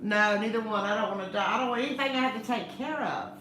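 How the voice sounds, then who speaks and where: A middle-aged woman with a loud voice, chatting casually and teasing, laughing often. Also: medium pitch, quick pace, roomy sound, a little hiss or hum, rough recording. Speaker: channel co-host. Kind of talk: casual chat.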